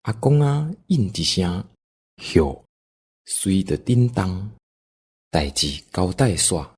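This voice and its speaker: Low husky Taiwanese man telling a story. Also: sing-song, slow pace, a little mellow. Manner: folksy, dramatic. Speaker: narrator. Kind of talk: expressive scripted storytelling for audio drama.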